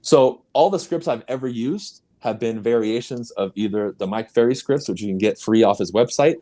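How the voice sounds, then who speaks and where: A man's voice: normal speed, mellow. A man whose first language is Spanish, speaking English as an emphatic, punchy real estate coach, a guest on a podcast. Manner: emphatic, punchy, sweeping pitch jumps. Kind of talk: motivational podcast interview.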